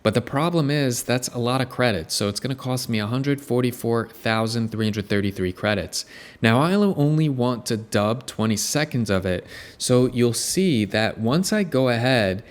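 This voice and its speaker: A man hosting a software tutorial, explaining each step in an upbeat way. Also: medium pitch, lively intonation, normal speed. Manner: explanatory, upbeat, walks through steps. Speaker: tutorial host. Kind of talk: screen-recorded software tutorial.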